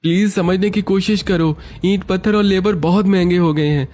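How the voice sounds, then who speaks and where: A man's voice: sits high, steady tone, normal speed, darkish tone, natural voice. A man playing a young brother-in-law and husband in a cartoon family story, earnest and placating. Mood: pleading.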